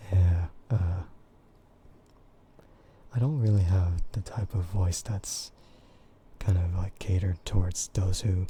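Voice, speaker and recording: A young man streaming, talking in a soft, half-whispered voice, hesitant and full of fillers and quiet laughs. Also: low voice, a little hiss or hum. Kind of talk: soft-spoken ASMR chat with viewers.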